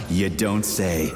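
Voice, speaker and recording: An actor playing a cocky, sarcastic, foul-mouthed special-forces soldier in a video game, blunt and sardonic. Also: male voice, fairly low pitch. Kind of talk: scripted video game voice acting. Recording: reverberant space under loud music.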